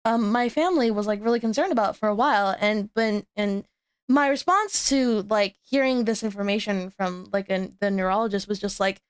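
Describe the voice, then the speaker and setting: A woman's voice: medium pitch, moderate pace, clean tone, no room sound. A young woman chatting casually on her stream, rambling and laughing at herself, with a stutter and many restarts. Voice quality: stutters, with frequent repeats and restarts. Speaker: VTuber streamer hosting a guest. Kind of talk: casual chat.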